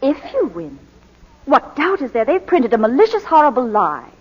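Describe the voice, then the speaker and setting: An actress playing a devoted, anxious wife, in the emotional, theatrical style of 1940s radio drama. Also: female voice, high voice, big pitch swings, normal speed, slightly rough, exaggerated voice. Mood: indignant. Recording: muffled, reverberant 1941 radio broadcast.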